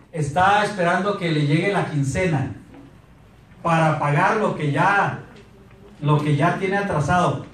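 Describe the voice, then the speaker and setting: Man, Mexican accent, thirties, motivational speaker, handheld mic, high-pitched, distant echoey room. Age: 30s. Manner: animated, asks the audience questions. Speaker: sales speaker. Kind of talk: motivational talk. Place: meeting room in front of a projector screen. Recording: echoey room recorded from afar.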